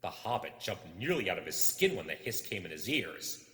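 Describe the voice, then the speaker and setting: A man reading a story as dramatic, suspenseful narration, theatrical and full of deliberate pauses. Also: low-pitched, big pitch swings, a bit bright. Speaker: storybook reader. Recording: echoey, long reverb.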